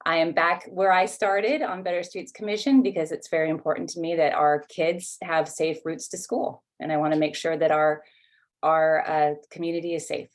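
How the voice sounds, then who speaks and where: A woman's voice: sits low, fairly even intonation, moderate pace. A woman speaking fluently and matter-of-factly as a commissioner at a civic meeting. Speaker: returning commissioner, former city council member. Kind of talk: prepared, civic self-introduction.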